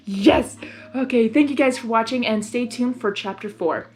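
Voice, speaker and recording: Excitable, high-voiced woman reading aloud. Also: normal speed. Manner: excitable, hyper. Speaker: host reading fan fiction aloud. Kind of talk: informal read-aloud with reactions. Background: music.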